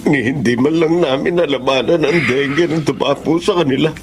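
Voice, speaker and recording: A man's voice: fairly high pitch, brisk, close to an everyday voice. An actor in a Filipino radio drama playing a poor, worried father who speaks in an earnest, plaintive way. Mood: grieving.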